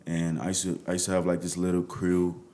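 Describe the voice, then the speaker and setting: Nigerian man, very low voice, relaxed chat, trails off softly. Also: a little fast. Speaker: musician guest. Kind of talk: casual interview.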